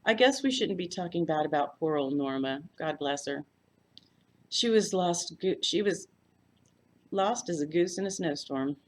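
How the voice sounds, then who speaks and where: A woman voicing a friendly, chatty retired nurse with a deep South Texas drawl in a story read aloud. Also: animated, a little slow. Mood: gossipy, folksy. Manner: effusive, folksy drawl.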